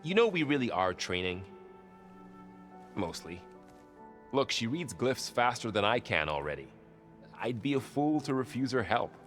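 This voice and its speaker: An actor playing a loyal, thoughtful young warrior in a video game, speaking in a calm, sincere, conversational way. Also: male voice, medium pitch, measured pace, pauses often, soft background music. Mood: lightly amused. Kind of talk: naturalistic video game cutscene acting.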